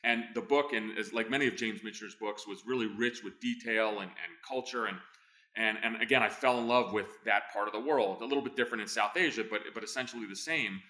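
Brief: man in his fifties, interview guest, talks very fast with restarts, lowish bright voice. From born in Greece, raised in New Jersey. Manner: fast, energetic storytelling with many fillers and restarts. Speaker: guest, former intelligence officer. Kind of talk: conversational interview answers.

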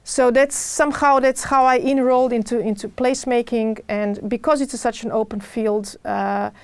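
A middle-aged woman with a Serbian accent speaks in a fairly high voice, recorded close and dry. She gives interview answers in a halting way, often circling back over a word before she moves on. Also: fairly even intonation, smooth, dry recording. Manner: hesitant, searching for words, repeats phrases. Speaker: podcast guest, urban designer. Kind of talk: interview answers about own career.

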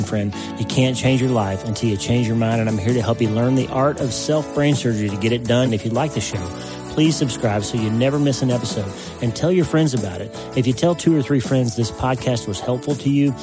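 A man's voice: mid-range voice, brisk. A man reading a scripted podcast introduction in an upbeat way, speaking directly to the listener. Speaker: podcast host. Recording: voice over clear background music.

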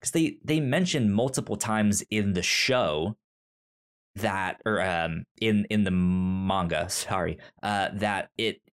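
A man co-hosting a podcast in casual chat, halting and repeating words as he searches for the next one. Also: lowish voice. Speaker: podcast co-host. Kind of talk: casual book discussion chat.

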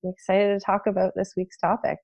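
A woman's voice: medium pitch, monotone, quick pace, a little mellow, dry recording. Nasal, stuffed-up woman co-host. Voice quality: a little nasal. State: stuffed up from a cold. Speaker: podcast co-host. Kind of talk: casual podcast chat.

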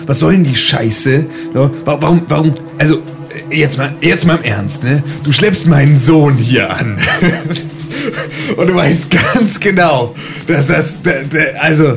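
A man's voice: a little high, expressive, a little slow, creaky, over music. A man improvising a roleplay story in casual chat, stammering and repeating words as he goes. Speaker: tabletop roleplay player. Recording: faint noise behind voice.

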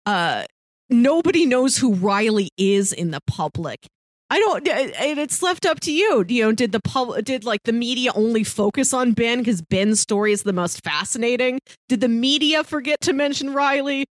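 A woman's voice: a little high, animated, moderate pace, no echo, clean studio sound. A woman co-hosting a podcast in casual film discussion, opinionated in how she talks. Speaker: podcast co-host.

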